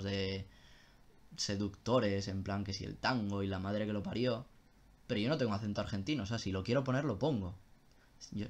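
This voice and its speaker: Casual man, low voice. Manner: loose and casual, with swearing and self-interruptions. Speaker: streamer answering viewer questions. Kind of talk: casual live-stream chat.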